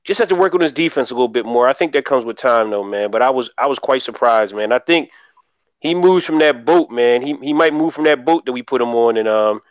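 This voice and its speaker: A man gives his take as a casual boxing panelist. His pitch is average and his talk is colloquial, punctuated with "man". The recording is very dry and a little dull. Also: animated, brisk, no echo. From Philadelphia. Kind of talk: casual sports commentary. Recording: dry, slightly dull lo-fi audio.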